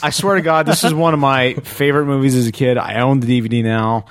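A man's voice: mid-range voice, steady tone, fast talker, smooth, dry recording. A man co-hosting a podcast in casual comic banter, joking and poking fun at himself. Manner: joking, self-mocking. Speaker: podcast co-host.

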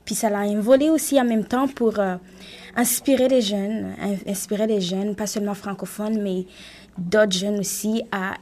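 A woman's voice: medium pitch, steady tone, moderate pace, mellow, slight background noise. A woman in her twenties from Rwanda, introducing herself conversationally as a studio panel guest. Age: 20s. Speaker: panel guest, writer and event planner.

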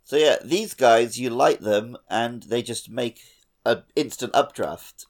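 A man's voice: medium pitch, takes it slowly. A man co-hosting a gaming podcast, explaining things in an easygoing, casual way. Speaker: podcast co-host.

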